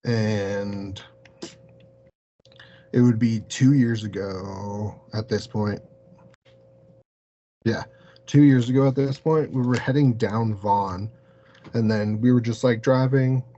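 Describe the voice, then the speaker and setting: A man with a fairly low voice chats through a personal story in a casual, halting way, dropping "like" into nearly every phrase. The recording is a bit dull and lo-fi. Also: fairly even intonation, mellow. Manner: halting, heavily peppered with "like". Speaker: podcast guest, paranormal investigator.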